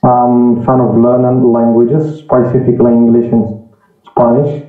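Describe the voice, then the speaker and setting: A man from Morocco introducing himself politely on a video call, steady with filler pauses. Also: mid-range voice, faint music behind. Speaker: call participant. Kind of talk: casual self-introduction. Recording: echoey tinny phone-like call audio.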